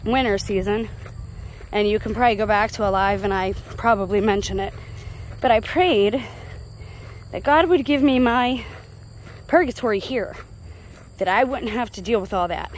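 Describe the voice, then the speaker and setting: A woman's voice: mid-range voice, poor audio. An American woman vlogging in a casual, chatty, digressive and confiding way as she walks along and talks into a phone. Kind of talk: casual walk-and-talk monologue. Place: outdoors walking along a rural road. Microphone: handheld phone. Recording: clear outdoor roadside noise.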